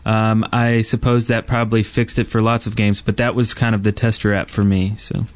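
A man's voice: on the low side, flat delivery, normal speed. A man co-hosting a gaming podcast, explaining things in casual conversation. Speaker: podcast co-host.

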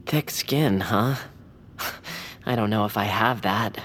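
A woman's voice: a bass voice, slow pace, fairly bright tone, a little hiss or hum, exaggerated voice. A woman voicing an earnest, hesitant young male bird who directs plays, in an audio drama. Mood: sheepish.

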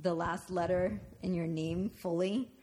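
A young woman co-hosting a podcast, loud and giggly as she jokes with friends. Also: lowish voice, steady tone, a little slow. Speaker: podcast co-host. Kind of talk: casual banter among friends. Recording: noticeable background hiss.

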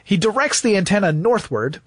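High-pitched man telling history, dry sound. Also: big pitch swings, fairly quick, no room sound. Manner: storytelling, emphatic repetition. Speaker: podcast co-host. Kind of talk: conversational history explainer.

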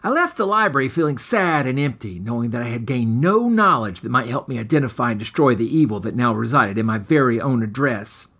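A man's voice: medium pitch, expressive, fairly quick, hardly any pauses, fairly bright tone. A man reading his own comic horror story in a mock-serious, formal and theatrical way. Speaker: author reading his own short story, podcast host. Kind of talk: scripted dramatic narration of a comic horror story.

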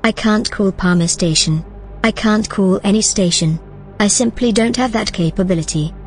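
A woman acting in an audio drama as a calm, matter-of-fact artificial intelligence. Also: medium pitch, moderate pace, soft background music, natural voice. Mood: calm, reasoning.

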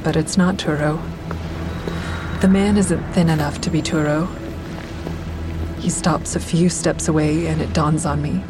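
An actress playing a bitter, grieving mother who is cold and unforgiving toward her ex-husband, in an audio drama with intense, deliberate delivery. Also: deep voice, fairly even intonation, music in the background. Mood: somber narrating. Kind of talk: full-cast audio drama, first-person narration and dialogue. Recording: clearly noisy outdoor traffic ambience.

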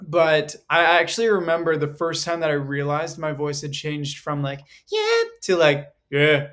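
A man's voice: fairly high pitch, big pitch swings, normal speed, fairly bright tone. A man in his twenties, a singer and guest, chatting casually with many fillers and laughs. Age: 20s. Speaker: guest performer. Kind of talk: casual interview chat.

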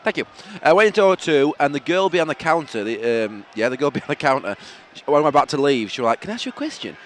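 Yorkshire lad, chatty and giggly, voice bouncing up and down, bit of stadium noise. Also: male voice, a little high, sing-song, quick pace. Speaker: podcast co-host. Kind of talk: casual banter. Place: outdoors in football stadium stands.

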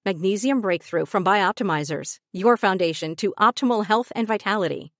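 A woman's voice: medium pitch, moderate pace, fairly bright tone, dry recording, clean studio sound. A woman reading an advertisement in an earnest, persuasive way. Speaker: ad announcer. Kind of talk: read advertisement.

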